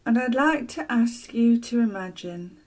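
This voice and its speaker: A woman narrating a guided meditation as a teacher, soft and soothing, with gentle, deliberate phrasing and long pauses. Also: a little high, expressive. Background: ocean waves sound loop.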